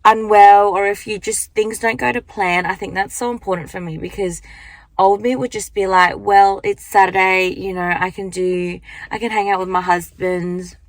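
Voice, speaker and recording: Young woman, croaky morning voice, rambling casual vlog chat into a handheld phone, dry close sound. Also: medium pitch, steady tone, normal speed, no echo. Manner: chatty, rambling, lots of fillers. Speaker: vlogger. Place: car at the beach, then walking outdoors.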